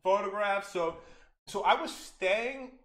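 A young American man telling travel stories in a casual podcast chat, animated and laughing often, acting out quotes. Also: sits high, slow, a loud crowd. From United States. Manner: says he has a loud voice. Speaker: podcast co-host.

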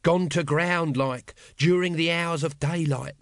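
A man voicing a shifty, sullen, vile little low-ranking watchman in a comic audiobook. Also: steady tone, unhurried, dry recording, studio quality. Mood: intoning, dramatic.

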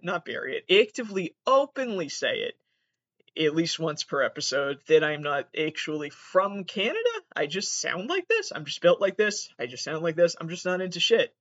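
A man's voice: high-pitched, a bit bright. A man from Massachusetts with a North American accent hosts a casual comedic solo podcast, rambling in a self-deprecating, profane, digressive way. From Massachusetts, United States. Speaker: podcast host. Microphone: built-in laptop microphone. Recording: dull lo-fi laptop microphone.

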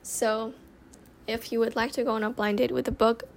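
A young woman from Thailand talks loosely into her phone from her bedroom, hosting a solo chat show. Her voice has a gravelly, fry-edged texture, and she wanders through answers with plenty of ums and restarts. A faint hiss sits under the phone recording. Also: a little high, level tone, normal speed. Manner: rambling, with many fillers and restarts. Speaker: podcast host. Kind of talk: casual solo chat, answering listener questions. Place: bedroom, by the window.

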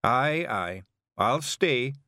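A man voicing a tiny, fierce male pixie clan chief with a gruff, blustering Scottish accent. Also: medium pitch, expressive, drawn-out words, pushed and bright, no room sound, studio quality, voice pushed up, full character voice. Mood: sheepish. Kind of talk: audiobook character voice.

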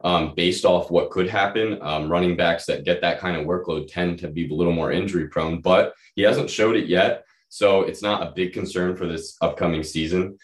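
Male podcast co-host, young, very deep and a touch hoarse, casual sports analysis, recorded roomy and off-mic. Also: steady tone, normal speed, hardly any pauses. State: slightly hoarse from feeling unwell. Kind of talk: casual fantasy football analysis. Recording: roomy echo, distant from mic.

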